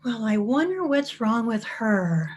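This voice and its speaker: A high voice with a husky edge, for a gossipy suburban housewife acted by a woman. She is fussy and disapproving, in a staged reading of a play. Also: big pitch swings, measured pace, darkish tone.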